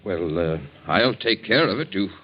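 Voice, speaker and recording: Evasive male gambler in debt, hesitant and courteous, very low, lively intonation, vintage radio acting. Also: normal speed. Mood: nervous stalling. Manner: hesitant, stalling, polite. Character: evasive, smooth-talking gambler husband in debt. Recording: dull, muffled 1940s radio recording.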